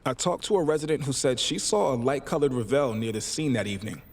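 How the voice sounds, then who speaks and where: A man's voice: medium pitch, natural voice. Man playing an earnest, procedural patrol cop. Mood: polite inquiry. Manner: measured, procedural. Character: earnest, polite young male patrol cop. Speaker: uniformed police officer. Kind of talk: video game cutscene dialogue.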